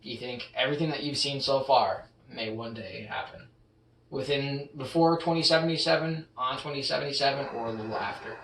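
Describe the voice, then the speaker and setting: Bright-voiced young man chatting in a roomy space. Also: mid-range voice, brisk. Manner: rambling, filler-heavy, trailing off quietly. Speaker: podcast co-host. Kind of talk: casual chat. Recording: roomy echo, distant mic, faint hiss.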